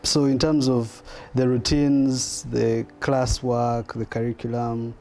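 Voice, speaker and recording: A man's voice: mid-range voice, flat delivery, takes it slowly, darkish tone. A man hosting an interview, asking probing questions and often finishing his guest's sentences. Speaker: interviewer host. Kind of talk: conversational interview.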